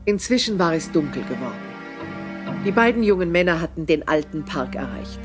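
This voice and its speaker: A man's voice: fairly high pitch, animated, normal speed, lightly acted. A man playing the narrator of a horror audio drama, reading scripted, ominous storytelling. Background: prominent music.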